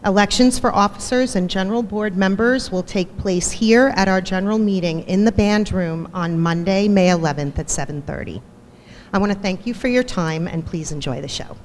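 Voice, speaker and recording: A woman's voice: medium pitch, moderate pace. A woman from the band parents association reading a prepared, formal appeal to other parents. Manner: formal, read-aloud delivery. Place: school auditorium. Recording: echoey hall with faint noise.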